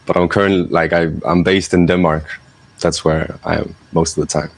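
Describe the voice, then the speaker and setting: A man who grew up in Italy, talking as a guest on an online roundtable, thoughtful and full of pauses and ums. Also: sits low, level tone, creaky. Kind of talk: casual discussion.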